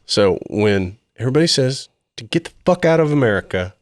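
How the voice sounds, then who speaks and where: A man's voice: mid-range voice, expressive, a little fast. A man in his forties chatting casually as a guest on a podcast, rambling and swearing, with frequent laughs. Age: 40s. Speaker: band member guest. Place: band rehearsal room.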